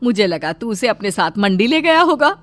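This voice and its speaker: A woman's voice: fairly high pitch, sing-song, moderate pace, a bit bright, dry recording, faint music behind. A woman voicing a worried village grandmother in a cartoon story. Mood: puzzled concern. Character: worried village grandmother talking to her grown son.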